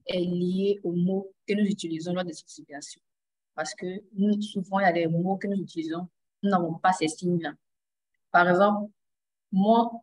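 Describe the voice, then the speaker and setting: A woman sign language interpreter answering interview questions in a webinar, explaining in a calm, measured way. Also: on the low side, rough recording.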